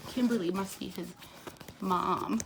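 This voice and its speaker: A woman's voice: medium pitch, steady tone, slow pace, gravelly, very noisy. A woman reading a picture book aloud to young children like a teacher, expressively, with simple asides and questions to the listeners.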